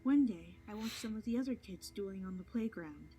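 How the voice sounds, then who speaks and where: A woman voicing a young female pony duelist who quietly recounts a sad past. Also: mid-range voice, expressive, brisk, soft background music, lightly acted. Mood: somber. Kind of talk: fan animated series voice acting.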